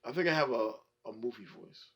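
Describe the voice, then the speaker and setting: A young man chatting on a podcast, rambling through stories with drawn-out fillers. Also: mid-range voice, a bit bright, slightly rough. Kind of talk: casual chat.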